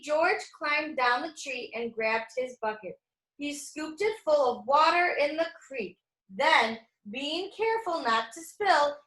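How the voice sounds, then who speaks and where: High-voiced woman reading slowly to children. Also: a bit bright. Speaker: read-aloud storyteller. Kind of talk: children's picture book read-aloud with asides to young listeners. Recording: echoey room.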